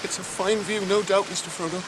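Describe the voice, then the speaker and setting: A homely British servant, played by a man, loyal but dryly grumbling. His plain-spoken radio drama delivery comes over loud rushing water. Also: high-pitched, steady tone, noisy background, lightly acted. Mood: chilly grumbling. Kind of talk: BBC radio drama acting. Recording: radio recording, loud falling water behind.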